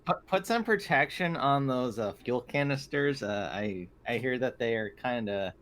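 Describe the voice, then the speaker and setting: A man's voice: mid-range voice, animated, a little slow, a bit bright. A man playing a tabletop game over an online voice call, casually describing what his character does. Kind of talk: casual in-game narration and chat. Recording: faintly noisy online voice call.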